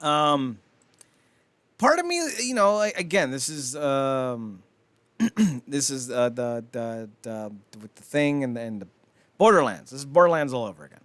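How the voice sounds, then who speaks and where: A man's voice: medium pitch, expressive, a little slow, fairly bright tone, no room sound. A man co-hosting a casual pop-culture podcast, rambling and swearing freely as he chats. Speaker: podcast co-host.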